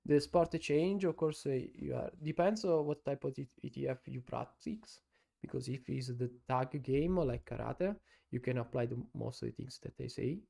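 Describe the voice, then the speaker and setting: Italian man as a live-stream sports analyst: gravelly, mellow, halting non-native English. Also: medium pitch. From Italy. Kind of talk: casual live-stream commentary.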